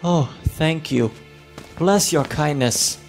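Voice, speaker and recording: Guy doing a feeble grateful stranger, really dragging slow, fantasy audio drama, bit of music under. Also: a little high, creaky. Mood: weak, pleading. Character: weak, pleading, grateful stranger in need. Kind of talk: fantasy radio drama acting.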